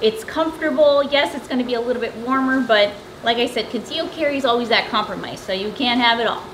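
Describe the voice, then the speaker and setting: A woman's voice: on the high side, quick pace, fairly bright tone, a little hiss or hum, rough recording. An American woman in her thirties giving a casual, conversational product review to camera as host. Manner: conversational, informal. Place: backyard patio and home kitchen.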